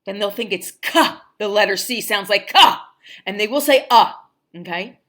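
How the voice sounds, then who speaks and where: A middle-aged woman from New Jersey with a softened Jersey accent, teaching phonics in a livestream and sounding out letters while checking in with "okay?". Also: medium pitch, moderate pace. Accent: New Jersey, softened toward standard American. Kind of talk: livestream phonics lesson for parents.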